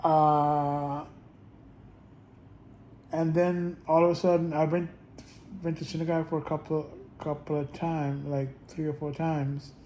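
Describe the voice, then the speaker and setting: A man vlogging in a casual, rambling monologue, halting often with restarts and little chuckles. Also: a little high, flat delivery, dark tone, a little hiss or hum, low-quality recording.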